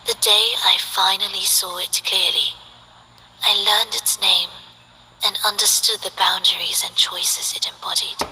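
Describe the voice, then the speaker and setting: A woman playing a soft-spoken, detached and tragic guardian of the underworld in a video game, with a breathy, measured delivery. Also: mid-range voice, expressive, a little hiss or hum, heavily acted, rough recording. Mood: solemn, mystical. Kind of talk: scripted video game character acting.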